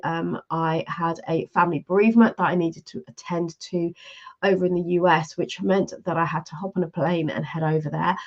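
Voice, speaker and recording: A woman's voice: mid-range voice, dull audio. A woman coaching on a live stream, speaking straight to her viewers in an upbeat, structured way, a little stuffy from a mild cold. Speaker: leadership and career coach, live show host.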